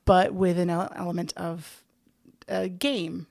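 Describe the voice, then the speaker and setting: Enthusiastic woman with a creaky voice. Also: fairly low pitch, level tone, measured pace, a little mellow, dry recording, studio quality. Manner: enthusiastic, emphatic, repeats herself for stress. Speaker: guest, organizer of a play-reading group; actor. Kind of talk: casual podcast-style interview chat.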